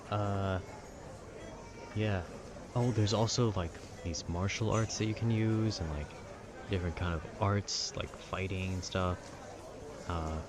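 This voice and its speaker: A man casually rambling about a video game with nerdy enthusiasm, often halting to search for words. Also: low voice, background noise. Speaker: voice actor making a rambling ambience video.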